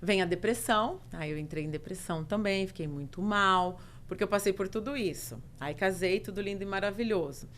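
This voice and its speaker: Expressive Brazilian woman telling her story. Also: mid-range voice, brisk, few pauses, a little hiss or hum. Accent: Brazilian Portuguese. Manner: expressive, emphatic, lists things in rhythmic runs. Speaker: podcast guest, mentor. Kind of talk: casual chat, personal storytelling.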